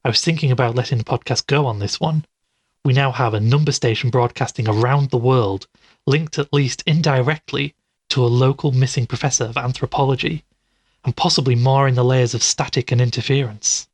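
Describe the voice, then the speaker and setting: A man's voice: medium pitch, fairly quick, husky, dry recording. A man acting in an audio drama as a curious, caring podcast host, earnest and inquisitive, given to explaining things. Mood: earnest, focused. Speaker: podcast host character and credits reader.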